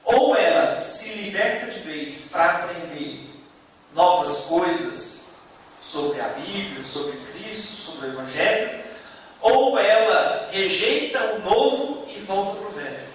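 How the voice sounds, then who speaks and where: A man with a Brazilian Portuguese accent lecturing a theology class in a didactic way, explaining through examples with emphatic pauses. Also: high voice, lively intonation. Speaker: theology teacher. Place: classroom, indoors. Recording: echoey room, distant mic, faint noise.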